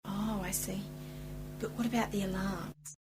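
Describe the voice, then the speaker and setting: A woman's voice: medium pitch, fairly even intonation, measured pace, far from the mic, natural voice. A woman playing an eager, impetuous young travelling companion in an amateur radio play. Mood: impatient, curious. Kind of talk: hobby radio play acting. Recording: amateur radio play under loud music.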